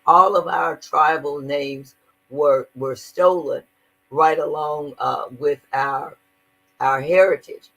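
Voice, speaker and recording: A woman's voice: low-pitched, fairly even intonation, slow pace, fairly bright tone, poor audio. An older woman speaking earnestly in a conversational interview, stressing her points and often repeating words. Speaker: guest activist.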